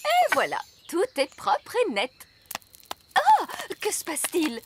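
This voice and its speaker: A woman voicing a brisk, fussy nanny fairy in a French cartoon, with a singsong delivery. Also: very high-pitched, wildly swooping pitch, noisy background, heavily acted. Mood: busy, singsong.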